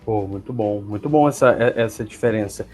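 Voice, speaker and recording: A man's voice: lowish voice, a little fast, a little mellow, soft background music. A man with a Brazilian accent hosting a casual sports interview, asking questions in an informal, conversational way. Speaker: interviewer and host.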